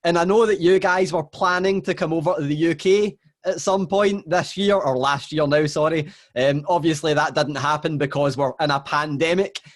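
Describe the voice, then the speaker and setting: A Scottish man with a fairly high voice puts questions to a guest. He asks them politely and chattily, with the odd "you know" and "obviously" along the way. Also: steady tone, moderate pace, few pauses. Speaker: interviewer. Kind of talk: interview questions.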